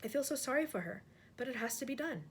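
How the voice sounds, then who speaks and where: A woman voicing a small girl who talks hushed, to herself. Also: sits high, animated, quick pace, breathy edge, full character voice. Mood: sympathetic. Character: small brave orphan girl, sympathetic.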